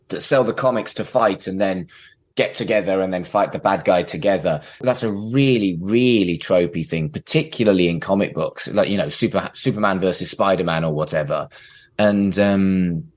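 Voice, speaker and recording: A man, chatting casually as a podcast co-host. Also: lowish voice. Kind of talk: casual chat about a TV show.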